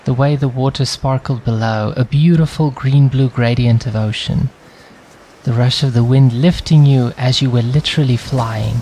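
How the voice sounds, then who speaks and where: A man reading a scripted travel commercial as a voice-over, with an evocative, unhurried delivery built on rhetorical questions. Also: medium pitch, fairly even intonation, mellow, slight background noise, rough recording. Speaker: voice-over artist.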